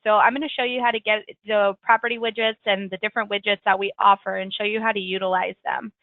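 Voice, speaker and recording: A woman leads a weekly software tutorial in a friendly, rambling way, full of ums and quick self-corrections. Her voice is slightly raspy as she gets over a cold. She comes through a tinny, phone-like connection with no room sound at all. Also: medium pitch, fairly even intonation, dry recording. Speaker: software trainer hosting a weekly webinar. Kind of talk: screen-share tutorial walkthrough. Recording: tinny, dry call-line audio.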